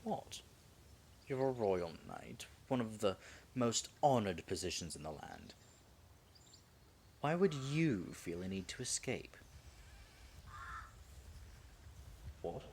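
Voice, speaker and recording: Proud, secretly smitten dragon in human form , male actor; low voice, unhurried theatrical delivery with halting asides, audio drama, echoey room. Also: sing-song, a little mellow, slight background noise, heavily acted. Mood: surprised, concerned. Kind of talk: dramatic audio roleplay monologue.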